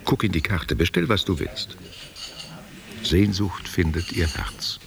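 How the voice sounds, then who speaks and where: Very deep, gravelly old man. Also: animated, unhurried, crowd noise, full character voice. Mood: tender, wry. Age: older. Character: old, tender, self-mocking man courting a younger woman. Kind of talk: German radio drama acting. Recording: noisy, hissy radio recording.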